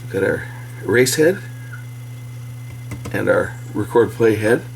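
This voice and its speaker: A middle-aged man giving a casual, unscripted hands-on repair commentary, thinking aloud with pauses as he works. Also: on the low side, expressive, vocal fry, slight background noise, low-quality recording. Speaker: electronics repair hobbyist.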